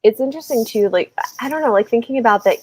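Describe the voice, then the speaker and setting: A woman's voice: on the high side, expressive, fast, darkish tone, no echo. A woman hosting a casual book discussion, thinking aloud in a rambling way with lots of fillers. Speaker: live show host. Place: indoors, livestream video call.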